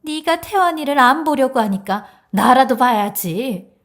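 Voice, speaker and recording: A woman's voice: sits high, lively intonation, unhurried, a bit bright, full character voice. A woman playing a brazen, indignant older mother-in-law in a dramatized story, arguing with haughty scorn. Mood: defiant grumbling. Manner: scornful, argumentative.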